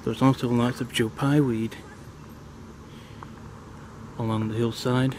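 A middle-aged man casually narrating a hike on a wooded lakeside trail in a relaxed, admiring way, recorded on a handheld camera. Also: mid-range voice, slow pace. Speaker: hiking vlogger. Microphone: handheld camera mic, speaker off camera. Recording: faint outdoor noise.

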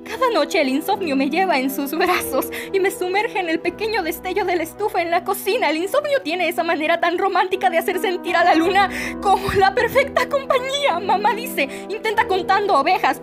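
An anguished young woman with a Latin American accent, acted by a woman in a fan dub, speaks in a very high voice over music. She delivers dramatic spoken-word poetry addressed to her mother. Her manner is emotive and pleading, with dramatic pauses.

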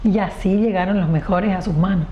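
A woman's voice: fairly low pitch, fairly quick, muffled recording, a crowd in the background. A woman hosting a TV interview in a studio living-room set, speaking with effusive, emphatic praise. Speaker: TV host.

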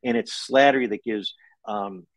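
A man's voice: on the low side, moderate pace, no room sound. Male, middle-aged, American. Guest on a talk show, conversational delivery, dry recording with little room sound. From Buffalo, New York, United States. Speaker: guest, novelist. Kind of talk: conversational interview answers. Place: room at home, video call.

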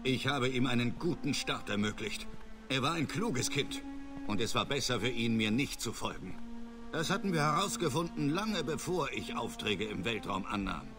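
An actor playing a disgraced middle-aged starship captain and father, evasive and self-justifying, speaking in a formal, measured way in a video game. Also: medium pitch, sing-song, lightly acted. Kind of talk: video game voice acting, German dub. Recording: loud music under the voice.

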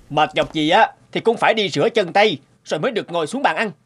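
A man voicing a stern, short-tempered father in a cartoon, scolding in a gruff, husky voice. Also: sits high, fast talker, a bit bright, a little hiss or hum. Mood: angry, scolding. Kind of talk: Vietnamese animated moral tale dubbing.

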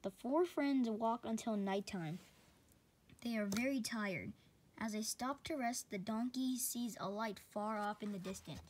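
A woman reading a children's fairy tale aloud, slightly halting, sometimes repeating words. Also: a little high, darkish tone, a little hiss or hum.

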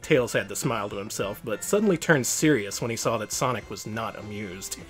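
Animated man narrating a storybook. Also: lowish voice, a little fast, soft background music. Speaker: host reading a picture book.

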